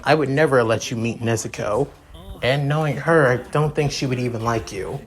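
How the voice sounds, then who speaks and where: A man voicing a level-headed, protective teenage demon slayer, calm and firm, in an amateur anime story. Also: mid-range voice, normal speed, lightly acted. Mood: wary, protective. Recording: music behind the voice.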